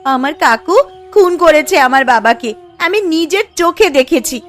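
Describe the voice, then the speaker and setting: A woman's voice: sits high, lively intonation, moderate pace, a bit bright, soft background music. A woman playing a frightened young village daughter in a cartoon moral story, plaintive and earnest. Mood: fearful.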